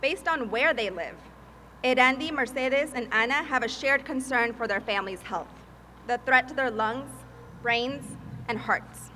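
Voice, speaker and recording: A woman from Peru, a mother and advocate, giving a prepared speech at a public event in an earnest, deliberate way. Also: high-pitched, sharp tone, a little hiss or hum. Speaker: advocacy field manager and mother.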